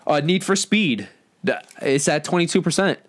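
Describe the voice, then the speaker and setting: A man's voice: fairly high pitch, lively intonation, normal speed. A man hosting a podcast discussion in casual, slangy speech, steering the others along. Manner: slangy, often addresses others as bro. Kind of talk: casual chat.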